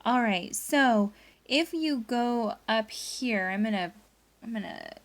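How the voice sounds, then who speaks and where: A woman's voice: a little high, animated, slow. A woman teacher leading a read-aloud lesson for pupils, reading expressively and guiding them through a story. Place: indoors at a laptop.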